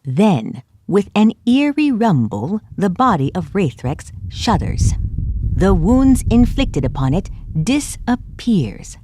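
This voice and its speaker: A woman's voice: mid-range voice, sing-song, slow pace, mellow, no room sound. A woman narrating a tabletop fantasy adventure as game master, in dramatic scripted storytelling style. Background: music.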